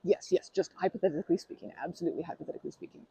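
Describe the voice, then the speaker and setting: A performer in an ASMR roleplay playing a nervous, polite stranger who flirts shyly in a wordy, hesitant ramble, with a husky, creaky voice. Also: medium pitch, fairly even intonation, rapid-fire, warm tone, no echo, low-quality recording. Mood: nervous, apologetic.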